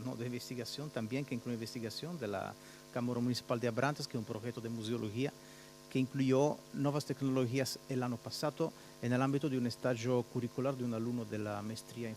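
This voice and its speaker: Halting Italian man presenting in Spanish. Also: medium pitch, darkish tone, a little hiss or hum. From Italy. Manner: halting, searching for words, mixing in Portuguese words. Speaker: researcher presenting a project. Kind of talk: conference presentation.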